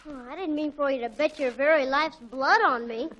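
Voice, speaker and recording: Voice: sits high, normal speed, fairly bright tone, slight background noise, rough recording. A performer acting a cheeky, wisecracking kid brother with an American accent, brash and pert, in a 1940s radio sitcom.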